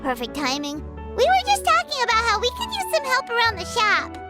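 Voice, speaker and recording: A tiny, earnest fairy sidekick from a video game. The voice is piercingly high and squeaky, swinging about excitably like a child's. Loud music plays behind it. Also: female voice, brisk, exaggerated voice. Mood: excited. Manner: refers to self in third person, exclaiming. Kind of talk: video game cutscene acting. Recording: music under the voice. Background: prominent childlike background music.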